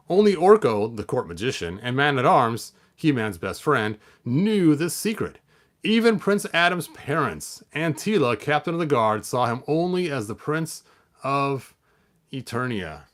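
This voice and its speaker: A man hosting a toy collector channel, chatting in a rambling way with many fillers and restarts, then reading a picture book aloud. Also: fairly high pitch.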